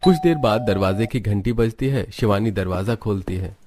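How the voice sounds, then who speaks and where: A man reading scripted narration for an animated family story. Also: fairly low pitch, moderate pace.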